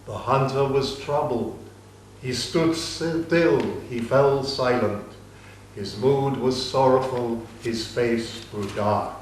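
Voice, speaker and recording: A man narrating an ancient epic in a measured, solemn dramatic reading. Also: medium pitch, a little hiss or hum. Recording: distant mic, echoey room.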